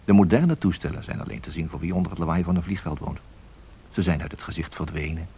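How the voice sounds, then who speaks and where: An actor playing a nostalgic narrator who thinks aloud reflectively, pausing, in a radio documentary drama. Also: male voice, low-pitched, vocal fry, mellow, breathy edge, heavily acted. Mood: wistful, reflective. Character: musing, nostalgic male narrator looking back on the 1930s. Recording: faint background noise and clicks.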